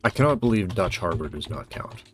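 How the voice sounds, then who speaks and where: A man casually thinking aloud as he hosts a guessing game video, halting and muttering to himself. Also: low voice, animated, soft background music. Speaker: host of a geography guessing challenge video.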